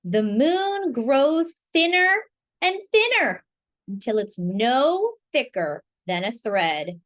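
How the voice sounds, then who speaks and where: A woman storyteller reading a picture book aloud to children, pausing to ask listeners questions and comment on the pictures. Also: on the high side, big pitch swings.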